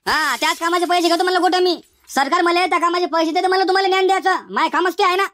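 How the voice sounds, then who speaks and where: A man voicing an exasperated village schoolteacher in a comedy cartoon, hectoring in a Varhadi Marathi accent through a pitched-up effect. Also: steady tone, rapid-fire, pushed and bright, dry recording. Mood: scolding, despairing. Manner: hectoring, repetitive, threatening.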